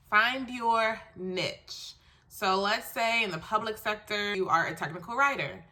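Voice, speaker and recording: A woman's voice: fairly low pitch, sharp tone. Stuffy, nasal woman with a cold. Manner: chatty, emphatic, numbered tips. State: congested from a cold. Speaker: resume writer giving career tips. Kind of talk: casual how-to advice video.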